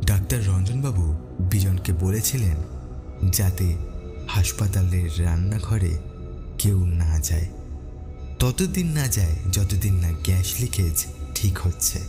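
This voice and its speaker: Slow, animated male horror storyteller. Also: low voice, over music. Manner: suspenseful, dramatic pauses. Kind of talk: dramatic horror story narration.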